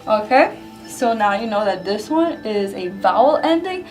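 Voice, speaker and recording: A woman's voice: mid-range voice, big pitch swings, normal speed, a little mellow, roomy sound, faint music behind. A young woman teaching a language lesson, patiently explaining examples one by one at a whiteboard. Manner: clear, patient explaining, often pointing at a whiteboard. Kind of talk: scripted lesson narration.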